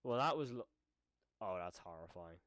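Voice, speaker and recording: A man's voice: sits low, lively intonation, normal speed, a bit bright. A man doing casual live reaction commentary as a streamer, rambling and thinking aloud with some mild swearing.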